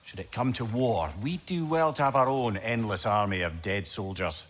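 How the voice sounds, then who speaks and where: An actor playing a wry, talkative, worldly companion who explains the lore in a video game. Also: male voice, lowish voice, moderate pace, in a large hall, a little hiss or hum, lightly acted. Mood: grave. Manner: wry, chatty, wordy turns of phrase. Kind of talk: cinematic video game acting.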